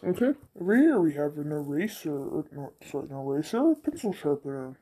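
A video creator chatting casually and haltingly through an unboxing, with the voice run through a voice changer. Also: mid-range voice, big pitch swings, dark tone, breathy edge. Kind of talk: casual chat. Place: indoors at a tabletop.

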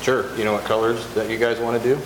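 A man's voice: on the low side, little pitch movement, quick pace. A man at a city council meeting giving brief, matter-of-fact answers. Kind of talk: meeting discussion. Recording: echoey hall, noisy room.

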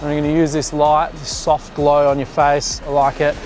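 A relaxed man in his thirties talks through a photo shoot on an open beach, his voice a little on the high side. He rambles with fillers and restarts. Music runs beneath him. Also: moderate pace. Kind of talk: directing a photo shoot and explaining to viewers. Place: open sandy beach at sunset, outdoors.

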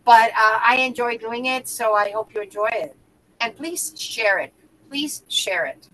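A woman's voice: on the high side, unhurried, a bit bright, slight background noise, low-quality recording. A middle-aged woman co-hosting a podcast over a video call, speaking with passion and emphasis from a small room. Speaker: podcast co-host. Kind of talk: casual video-call chat.

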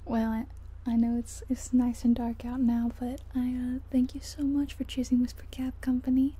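Woman playing a very dark, whispery soft taxi driver. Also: a little high, monotone, normal speed. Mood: warm, grateful. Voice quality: soft, breathy, often whispered. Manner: gentle, hesitant, murmuring to herself and passenger. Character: friendly, chatty, sleepy-soft female city taxi driver. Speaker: ASMR roleplay performer. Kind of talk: soft-spoken ASMR roleplay. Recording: soft ambient car and rain noise.

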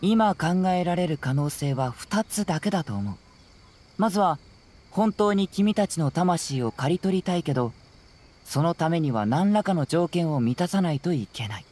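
A man's voice: a little high, animated, normal speed, a little mellow, slight background noise, close to an everyday voice. An actor playing a clever, confident young male detective in an anime game, speaking in a composed, casual, analytical way. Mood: thoughtful. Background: music.

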